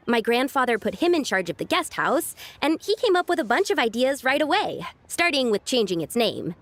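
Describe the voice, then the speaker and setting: A woman playing an earnest, troubled but determined young woman in a video game, speaking in a confiding, conversational way. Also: fairly high pitch.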